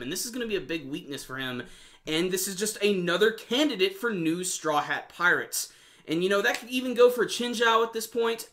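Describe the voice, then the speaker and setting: A man's voice: high voice, a bit bright. A man giving an enthusiastic video review of an anime episode in casual, slangy fan talk.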